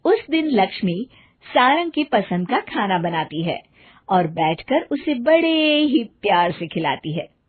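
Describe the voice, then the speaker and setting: Husky woman narrating a cartoon story. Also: mid-range voice, big pitch swings, measured pace, narrow, tinny audio.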